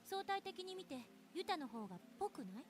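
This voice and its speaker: A woman's voice: very high voice, sing-song, racing. A woman voice actor playing a skeptical, pert young woman scientist in a Japanese visual novel.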